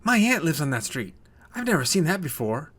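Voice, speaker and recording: A man's voice: a little high, sing-song, brisk, a bit bright, voice pushed up. A man voicing a timid but eager small boy in a children's story, speaking in an earnest, wide-eyed way. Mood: puzzled.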